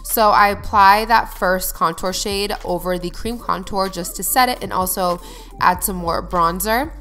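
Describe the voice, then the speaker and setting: Young woman beauty vlogger, mid-pitch, slightly nasal from a cold, chatty and upbeat, soft music behind. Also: unhurried. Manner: chatty, upbeat, addresses viewers directly. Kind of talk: get-ready-with-me voice-over.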